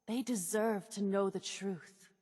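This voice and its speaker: An actress playing a fervent exiled cultist woman in a video game, preaching nothingness with solemn zeal and dramatic pauses. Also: medium pitch, darkish tone, breathy edge, dry recording, studio quality. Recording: music behind the voice.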